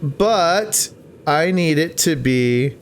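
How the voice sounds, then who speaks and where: Higher-voiced man chatting through a game. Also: animated, drawn-out words, slight background noise. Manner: chatty, talks to the game characters. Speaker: let's play host. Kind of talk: gameplay commentary mixed with reading game text aloud.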